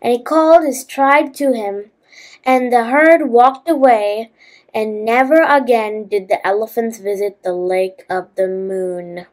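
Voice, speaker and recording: A woman reading a children's fable aloud as a storyteller, halting at times and adding asides to listeners. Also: on the high side, low-quality recording.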